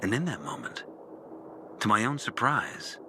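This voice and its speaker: An actor playing a bitter, disillusioned former priest in a video game, speaking a brooding, deliberate confessional monologue with dramatic pauses. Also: male voice, fairly low pitch, very animated, noisy background. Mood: bitter, resolute. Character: disillusioned former priest, bitter and intense, recounting his lost faith. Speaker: character narrating an audio journal.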